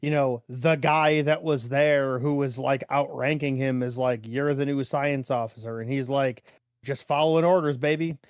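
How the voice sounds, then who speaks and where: A man's voice: mid-range voice, fairly even intonation, moderate pace, smooth, dry recording, clean studio sound. A man co-hosting a podcast, talking casually and in a matter-of-fact, colloquial way. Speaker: podcast co-host. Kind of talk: casual chat, discussion.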